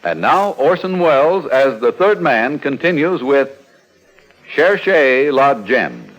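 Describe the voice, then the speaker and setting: A formal male announcer in 1950s radio style. His pitch moves a great deal. Loud music plays behind him on a dull old recording. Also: sing-song, takes it slowly.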